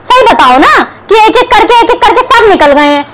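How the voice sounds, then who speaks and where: A woman's voice: extremely high pitch, expressive, moderate pace. A young woman vlogger giving combative reaction commentary to camera, scolding and sarcastic. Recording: clear background noise.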